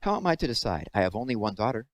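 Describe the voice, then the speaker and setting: A man's voice: mid-range voice, sing-song, rapid-fire, close to an everyday voice. A man voicing a blunt, pompous middle-aged father in a storybook, speaking in an emphatic, dismissive way. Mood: pondering.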